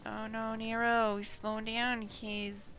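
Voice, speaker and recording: A woman game streamer reading story dialogue aloud and commenting, halting over unfamiliar words. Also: fairly high pitch, little pitch movement, fairly bright tone, slight background noise. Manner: narrates action between acted lines, halting on unfamiliar words.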